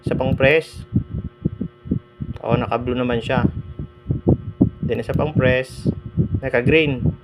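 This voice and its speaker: A man walks through a motorbike intercom in a relaxed, unhurried way. His voice sits at an ordinary middle pitch and he pauses often. There is plain background noise behind him. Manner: relaxed, halting, many fillers. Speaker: moto vlogger reviewing an intercom. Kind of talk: casual product review.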